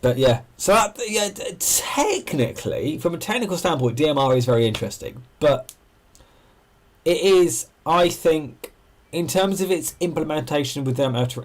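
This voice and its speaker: Man chatting on a casual livestream. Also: medium pitch. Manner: discursive, thinking aloud. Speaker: amateur radio livestream host. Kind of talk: casual livestream chat, explaining and answering viewers.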